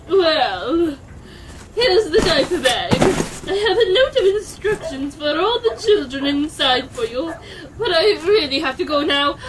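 Woman as a high-voiced, brisk, harried mother. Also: far from the mic, background noise, full character voice. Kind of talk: comic audio drama acting.

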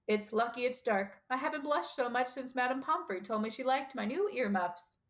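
A woman voicing a calm, gentle elderly male professor in an audiobook, with a measured and mildly whimsical manner. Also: on the high side, voice pushed up, lightly acted. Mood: calm, reasonable. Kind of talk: audiobook character dialogue.

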